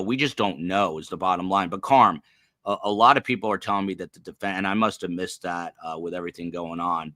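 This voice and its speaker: A man's voice: deep voice, pushed and bright, no room sound. A man in his fifties hosting a podcast in casual talk, rambling with restarts and asking pointed questions, sounding tired. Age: 50s. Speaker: podcast host. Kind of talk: casual live-stream talk.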